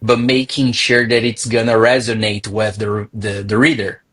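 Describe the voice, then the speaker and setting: A man from Brazil hosting an interview, chatty and relaxed, adding his own asides. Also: lowish voice, normal speed. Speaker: interview host. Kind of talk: conversational interview.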